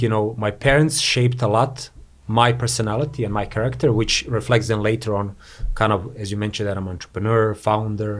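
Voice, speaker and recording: Rambling man from Croatia, says "you know". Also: on the low side. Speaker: podcast guest, entrepreneur. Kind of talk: casual interview chat.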